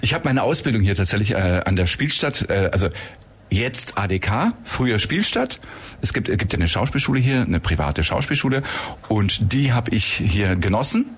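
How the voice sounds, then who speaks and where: A man's voice: on the low side, sing-song, moderate pace, slightly rough. A man in his fifties, an actor at a youth theater, chatting in a talkative, joking, rambling way. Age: 50s. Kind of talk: casual radio chat.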